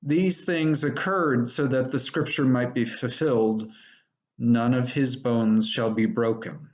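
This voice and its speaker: Guy reading bible passage, slow and solemn, average pitch, measured. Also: steady tone. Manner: measured, deliberate. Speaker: lay scripture reader.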